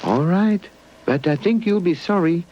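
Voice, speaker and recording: A man's voice: sits high, animated, measured pace, a little mellow, a little hiss or hum, voice pushed up. A British man voicing a cautious, earnest toy inventor in a children's puppet story. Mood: worried, reluctant. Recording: dull lo-fi VHS tape transfer.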